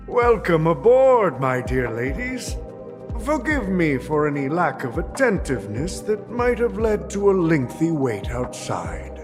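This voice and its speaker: An actor playing a smooth-talking, scheming older tycoon in a video game, florid and unctuous as he plays host. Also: fairly high pitch, big pitch swings. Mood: effusive welcome. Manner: florid, unctuous, slow and deliberate. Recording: long hall reverb, faint music.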